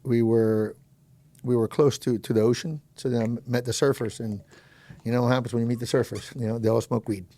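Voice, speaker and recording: A man's voice: mid-range voice, fairly even intonation, fairly quick, warm tone, no echo. A man in his fifties, originally from Colombia, telling his life story as a podcast guest in a rambling, self-deprecating way and laughing easily. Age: 50s. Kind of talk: casual storytelling interview.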